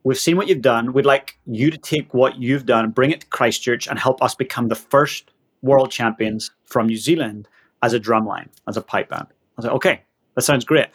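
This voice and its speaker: A man in his thirties from Northern Ireland with a Northern Irish accent, an interview guest telling energetic stories and laughing often. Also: mid-range voice, normal speed, breathy edge. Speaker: guest, leadership coach. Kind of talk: storytelling in an interview.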